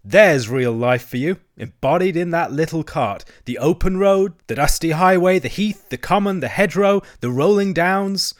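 A man voicing a boastful, excitable male toad in a storybook, with a pompous, theatrical manner. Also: mid-range voice, moderate pace, natural voice. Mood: excited, boastful. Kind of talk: storybook character acting.

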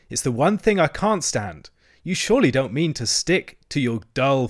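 A man voicing a boastful, excitable male toad in a storybook, with a pompous, theatrical manner. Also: medium pitch, lightly acted. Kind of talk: storybook character acting.

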